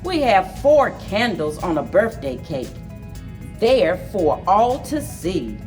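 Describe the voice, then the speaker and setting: A woman leads a story time for young children in an expressive, very slow delivery. Her voice sits a little low but swings widely in pitch. She is picked up from some way off the microphone. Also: far from the mic, faint music behind. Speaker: library story time host. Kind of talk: children's picture book read-aloud and story time.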